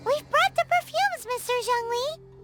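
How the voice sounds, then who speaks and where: A woman's voice: very high voice, lively intonation, unhurried, a bit bright, faint music behind, exaggerated voice. A woman voicing a tiny, chirpy, childlike fairy companion with a curious, cheeky manner in a video game. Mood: eager, chirpy. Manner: refers to self in third person, eager, exclamatory. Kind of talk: English video game voice acting.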